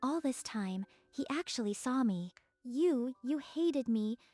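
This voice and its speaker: A woman's voice: sits high, lively intonation, slow pace, dark tone, no room sound, soft background music. An actress playing a proud, icy young woman who hides tender feelings, in a manga dub. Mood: vulnerable. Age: young adult.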